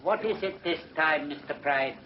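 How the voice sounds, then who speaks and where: A man playing a stern, impatient British High Court judge in a radio drama, curt, pompous and authoritative. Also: normal speed, slight background noise, close to an everyday voice. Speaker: actor. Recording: muffled vintage radio drama recording.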